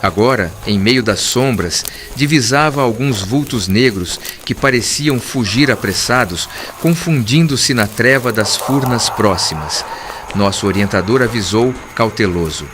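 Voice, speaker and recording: Solemn male narrator over clear background noise. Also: fairly low pitch, expressive, a little slow. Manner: formal, literary, solemn. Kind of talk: scripted audiobook narration in the first person.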